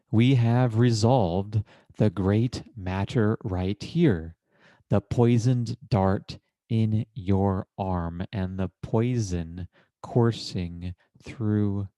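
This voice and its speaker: Very slow, low-voiced man guiding meditation. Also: dark tone, no echo. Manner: measured, deliberate, with long pauses between short phrases; formal, literary phrasing. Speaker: guided meditation teacher. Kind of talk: guided meditation, slow contemplative narration.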